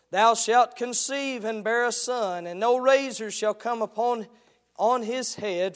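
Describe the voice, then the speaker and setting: A man preaching a sermon as a guest preacher, slightly hoarse, starting with halting remarks and building into a rising preaching cadence while reading scripture. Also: high voice.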